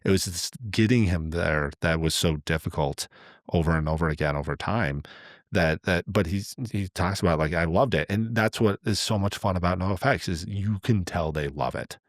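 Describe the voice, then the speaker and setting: Low-voiced man telling stories casually. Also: moderate pace, dry recording, clean studio sound. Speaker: podcast co-host. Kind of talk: casual conversational storytelling.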